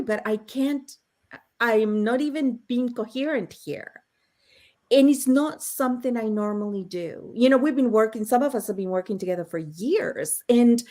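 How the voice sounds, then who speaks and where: A woman hosting a casual panel chat on a video call, telling stories with frequent laughs. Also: a little high. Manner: chatty, laughing storyteller. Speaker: host.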